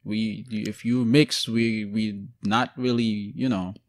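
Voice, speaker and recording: A man's voice: fairly low pitch, slow, dry recording, clean studio sound. Blunt young man in casual slang. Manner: blunt, animated street slang. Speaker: podcast co-host. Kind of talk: casual chat.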